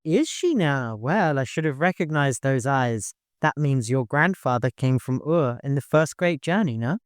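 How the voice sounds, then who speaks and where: A man's voice: mid-range voice, animated, moderate pace, clear, resonant tone, no room sound, clean studio sound, natural voice. A man voicing a shrewd, authoritative male expedition leader with a wry streak in an audiobook. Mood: genial, probing.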